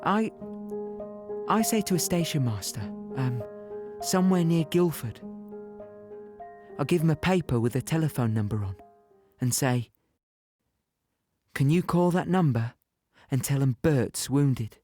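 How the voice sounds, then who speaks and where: An actor playing a young wounded British infantryman, plainly and haltingly telling his story in an audio play. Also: fairly high pitch, lively intonation, warm tone, faint music behind. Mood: reflective. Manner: conversational, halting, present-tense storytelling. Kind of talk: first-person dramatic monologue in audio play.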